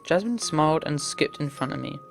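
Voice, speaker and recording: A man's voice: on the high side, animated, brisk, creaky. A man reading visual novel text aloud in an earnest, theatrical way as a game playthrough host. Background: game music.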